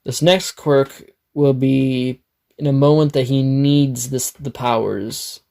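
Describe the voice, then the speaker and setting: A man with a raspy, dry throat, narrating an improvised fan story, rambling and correcting himself. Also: mid-range voice, fairly even intonation, takes it slowly, darkish tone. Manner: rambling, self-correcting, swears.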